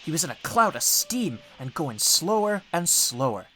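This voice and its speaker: Expressive storybook narrator, lively pitch. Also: moderate pace, slight background noise. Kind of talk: scripted children's audiobook narration.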